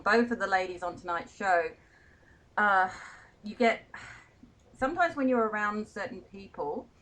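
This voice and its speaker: A woman's voice: mid-range voice, a bit bright, rough recording. A woman hosting a radio interview show, chatty and giggly, often laughing at her own slips. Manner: giggly, self-deprecating, chatty. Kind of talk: casual radio interview chat.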